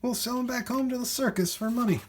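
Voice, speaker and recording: A man voicing excitable, greedy storybook hunters who exclaim and shout. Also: extremely high pitch, fast, slight background noise, pitched above the performer's own voice. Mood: scheming.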